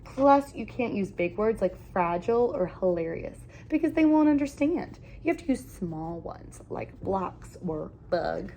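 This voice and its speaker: Female storyteller for children's read-aloud, very expressive swooping delivery, emphatic phrasing, noticeable background noise. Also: medium pitch, measured pace, hardly any pauses, rough recording.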